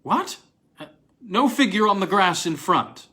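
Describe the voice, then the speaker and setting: A man reads the part of an anxious, excitable university don from a storybook. He stammers with eagerness, his pitch jumping high and swinging about. The tone is bright and tense. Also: measured pace, exaggerated voice. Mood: astonished, excited.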